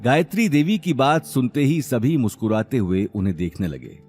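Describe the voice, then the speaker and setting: A man's voice: medium pitch, sing-song, moderate pace, darkish tone, breathy edge, soft background music. A man narrating a scripted audio drama story in a dramatic style.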